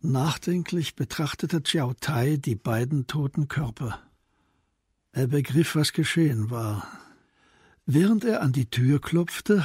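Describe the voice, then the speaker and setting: A man narrating a crime radio play as scripted literary storytelling, in a measured manner. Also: a little high, dark tone, breathy edge, no echo, clean studio sound.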